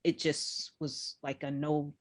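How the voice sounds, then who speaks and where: A woman in her fifties hosting a podcast interview, telling an anecdote with animated quoted lines and frequent laughter. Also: sits low, slow pace. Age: 50s. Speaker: podcast host. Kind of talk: casual interview chat.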